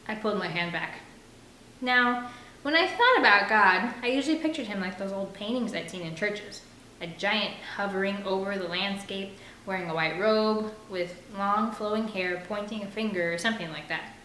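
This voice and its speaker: A woman's voice: medium pitch, moderate pace, bright, forceful tone. Woman reading a novel aloud, echoey room. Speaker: audiobook reader. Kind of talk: reading a novel aloud, first-person narration. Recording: roomy echo with faint background noise.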